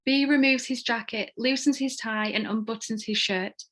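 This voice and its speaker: A woman reads screenplay stage directions as narrator in a measured, descriptive manner. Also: a little high, fairly even intonation, a bit bright.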